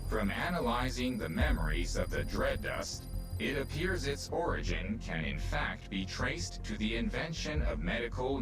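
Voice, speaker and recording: Formal, analytical male AI. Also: medium pitch. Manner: measured, precise, formal explaining. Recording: distant voice under loud orchestral score.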